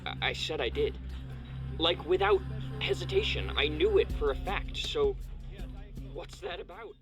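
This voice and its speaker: A man's voice: a little high, expressive, measured pace, music in the background. An actor playing an earnest, eager, bewildered young man talking into a recorder in a family audio drama. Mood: eager, puzzled.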